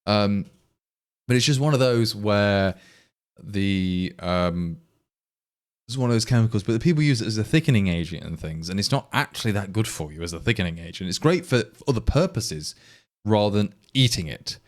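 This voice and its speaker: A man's voice: lowish voice, animated, normal speed. An English man with an East Midlands accent, chatting casually to stream viewers in a rambling, exasperated way with many restarts. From England. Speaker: livestream programmer.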